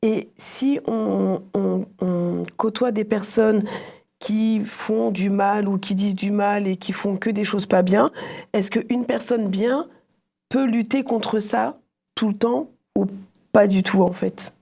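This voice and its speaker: Creaky, mellow woman moderating a discussion. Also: medium pitch, fairly even intonation, slow pace. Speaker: moderator on a religious discussion show. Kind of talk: casual chat, summing up and questioning.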